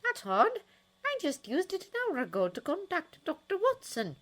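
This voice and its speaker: A woman's voice: sits high, wildly swooping pitch, normal speed, pitched above the performer's own voice, exaggerated voice. A woman voicing a fussy older female school nurse who speaks sharply and grimly. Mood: puzzled. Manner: sharp, fretful and grim.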